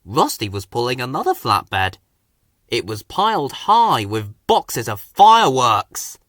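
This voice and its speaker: A man's voice: mid-range voice, huge pitch swings, unhurried, fairly bright tone, dry recording, clean studio sound. A man reading a scripted children's story as its narrator.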